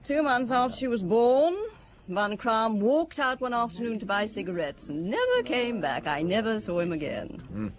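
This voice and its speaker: An actress playing a callous middle-aged former dancer and gambling addict in a radio drama, breezy and distracted amid a casino crowd. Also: female voice, fairly high pitch, animated, moderate pace, lightly acted. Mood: flippant, wry. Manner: breezy, distracted, chuckling offhandedly. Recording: busy crowd, casino scene. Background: casino crowd chatter.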